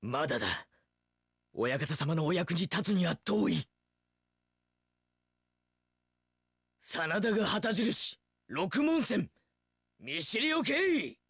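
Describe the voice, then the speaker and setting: A fiercely loyal young samurai spearman in a video game. His voice is very high for a man and forceful, with a raspy edge, and he makes archaic warrior declarations with wildly swinging pitch. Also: dry recording, studio quality, full character voice. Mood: solemn, resolute. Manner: archaic formal warrior speech, bellowed declarations. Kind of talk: video game battle voice lines.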